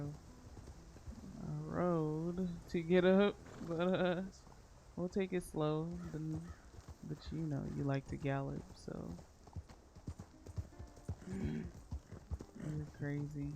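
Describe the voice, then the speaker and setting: A woman roleplaying an easygoing frontier woman from Barbados, talking in a relaxed, hesitant way full of fillers. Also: low-pitched, slow, vocal fry, long pauses, noisy background, exaggerated voice. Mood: unhurried. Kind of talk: in-character roleplay chat. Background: game music.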